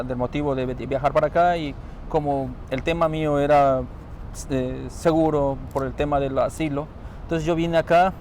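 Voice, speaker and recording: A man from Ecuador with an Ecuadorian accent, calmly explaining his experience in a street interview, often trailing off softly. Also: fairly high pitch. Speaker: interviewee, newly arrived asylum seeker. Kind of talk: casual interview answers. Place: outdoors on a city street. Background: faint traffic noise.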